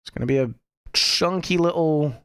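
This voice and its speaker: A man's voice: fairly high pitch, normal speed, a bit bright, clear, resonant tone, dry recording, clean studio sound. British man rambling over gameplay. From United Kingdom. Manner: rambling, self-deprecating, talks to chat. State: lingering cough. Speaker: streamer. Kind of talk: casual gameplay commentary.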